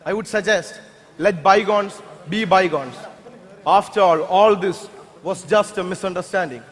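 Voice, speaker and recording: A man with an Indian accent playing a smooth-talking, self-righteous politician in a stage drama, measured and pompous. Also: high voice. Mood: ingratiating. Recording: echoey hall, stage play.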